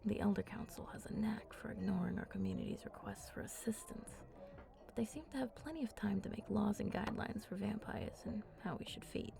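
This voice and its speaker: A woman playing a flirty, confident vampire in an intimate ASMR roleplay, talking softly and playfully up close and often dropping into breathy murmurs. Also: mid-range voice, brisk, music in the background. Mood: confiding, flirty. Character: flirty, teasing, confident female vampire at a bar. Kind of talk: ASMR roleplay, intimate one-sided chat.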